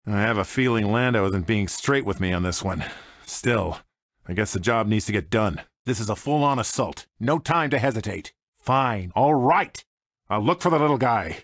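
An actor playing a cocky, grumbling smuggler pilot in a video game, with an American accent and short sardonic quips. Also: male voice, fairly low pitch, animated, normal speed, a bit bright, no echo. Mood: resigned, determined. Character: cocky, wry male smuggler pilot, grumbling reluctant hero. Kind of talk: video game character voice lines.

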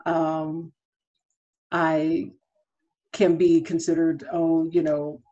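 A middle-aged woman speaking as a counselor on a panel over a video call from home. She speaks in a measured way with many fillers. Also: sits low, level tone, mellow. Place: room at home. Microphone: laptop webcam on a video call. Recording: muffled video call audio.

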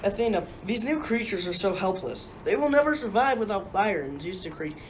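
A woman's voice: medium pitch, animated, fairly quick, background noise, lightly acted. Earnest young-sounding god a woman acting. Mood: pleading. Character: earnest, pleading male god. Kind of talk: radio play acting.

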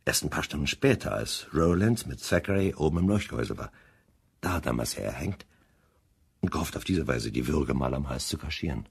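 An actor playing a calm, methodical, authoritative police chief inspector in a German crime radio drama. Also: male voice, very deep voice, a little fast, creaky, slightly rough, heavily acted. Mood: measured, deductive.

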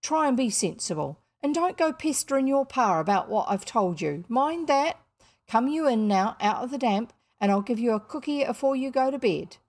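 A woman's voice: a little high, animated, normal speed, darkish tone, voice pushed up. A woman voicing a blunt, scolding working-class housekeeper in a storybook, with unrefined rustic speech and dropped letters. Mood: sharp scolding.

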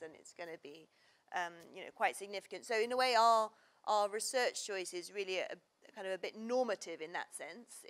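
A woman in her thirties answering a question on a conference panel, measured and explanatory, often saying "you know". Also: mid-range voice, a bit bright. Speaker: panel researcher. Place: conference stage, seated in armchair. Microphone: lapel.

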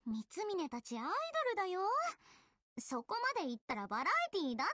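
A woman playing a quick-witted, teasing teenage idol in a game, breezy and playfully wry. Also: sits high, very animated, a bit bright, dry recording, clean studio sound, full character voice. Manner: teasing, breezy, mock-dramatic. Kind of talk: Japanese mobile game voice acting.

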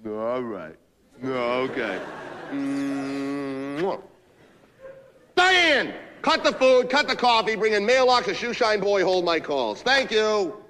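A man's voice: on the high side, expressive, brisk, pauses often, fairly bright tone, slight background noise. An actor playing a manipulative, foul-mouthed male office boss working the phone, badgering and repeating himself in a comic dramatic monologue. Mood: barking orders. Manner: repetitive, badgering, swinging between ranting and smooth-talking.

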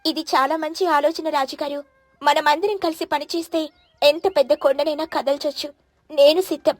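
A woman's voice: high-pitched, fairly even intonation, moderate pace, dry recording, close to an everyday voice. A woman voicing a responsible, cooperative mother sparrow in a children's cartoon story, speaking earnestly. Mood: earnest, determined. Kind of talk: animated children's moral story.

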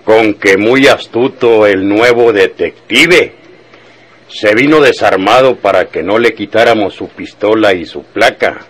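An actor playing a harsh, contemptuous gang boss in a radio drama, commanding and sneering with a Mexican accent. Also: male voice, fairly low pitch, measured pace, a bit bright.

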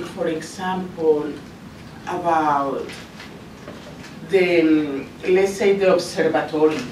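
A middle-aged woman with a Colombian accent speaks as a motivational coach, in a fairly low voice and at a very slow, deliberate pace. Her native language is Spanish, and she is emotive, repeating phrases for emphasis. She is picked up from across a classroom. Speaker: coach speaking to parents and children. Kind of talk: motivational presentation. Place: school classroom, indoors. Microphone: camera some meters away. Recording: roomy, noisy classroom, distant mic.

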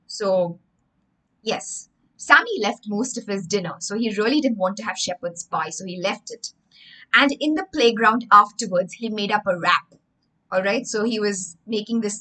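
Bright-voiced young woman teaching by storytelling. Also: medium pitch, poor audio. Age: young adult. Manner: theatrical, emphatic, explains words with synonyms. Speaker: children's English teacher and storyteller. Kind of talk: livestream storytelling lesson with vocabulary asides. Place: indoor room with bookshelves.

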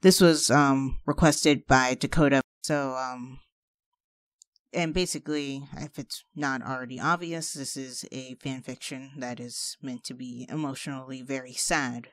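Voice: medium pitch, fairly even intonation, a little slow, no echo, studio quality. A commentator giving a casual, musing blind reaction as host of the channel, with a hesitant way of talking.

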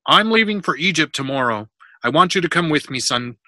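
A storybook merchant father, read aloud by a man, cautious and in charge. He explains things firmly in a bright, forceful voice with very little room sound. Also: on the high side, animated, fairly quick, no room sound, pitched above the performer's own voice. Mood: firm, instructive. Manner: firm, explanatory.

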